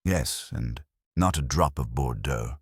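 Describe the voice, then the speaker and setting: A man voicing an imperious, erudite detective in an audiobook, speaking in formal, deliberate pronouncements. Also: rumbling low, slightly rough, no room sound, clean studio sound, pitched below the performer's own voice, heavily acted. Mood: terse, certain. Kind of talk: detective audiobook character dialogue.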